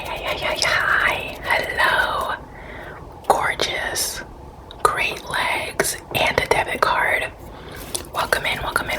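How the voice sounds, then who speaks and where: A woman's voice: lightly acted, low-quality recording. A woman doing a whispered ASMR roleplay as a flamboyant, self-important celebrity shop owner, theatrical, boastful and sassy. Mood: sassy, welcoming.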